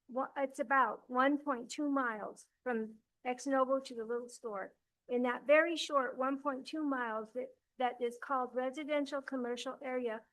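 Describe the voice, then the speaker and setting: An older woman giving a public comment at a village council meeting, earnest and halting, stopping to correct herself. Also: sits high, fairly bright tone, rough recording. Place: council chamber.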